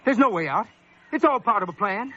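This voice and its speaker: An unhinged, terrified lighthouse keeper in a radio play, pitched extremely high and swinging wildly in tone. He is overwrought and theatrical. The sound is muffled, with music behind. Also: expressive, a little fast, pushed and bright, exaggerated voice. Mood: paranoid. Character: unhinged, terrified male lighthouse keeper near breaking point. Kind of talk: old-time radio drama acting.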